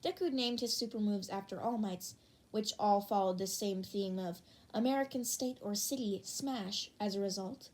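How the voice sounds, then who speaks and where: A woman reads scripted fan fiction as an audiobook narrator. Her voice sits at a medium pitch for a woman. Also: normal speed, darkish tone. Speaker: audiobook reader.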